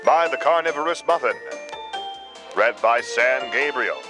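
A man with a mid-pitched voice reads audiobook titles and credits from a script. Music plays clearly behind him. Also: expressive, unhurried. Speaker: audiobook announcer.

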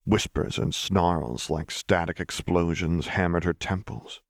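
A man with a low voice narrates dramatic fiction. His storytelling is measured and expressive, and the recording is close and dry, with no room sound. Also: no room sound. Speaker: audiobook narrator.

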